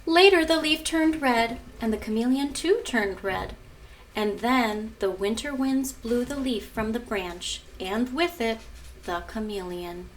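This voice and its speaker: A woman's voice: on the high side, measured pace, distant mic, slight background noise. A woman who teaches art and is a mother, presenting a lesson and reading a picture book aloud in an instructive way, often prompting children with questions. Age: adult. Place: at home.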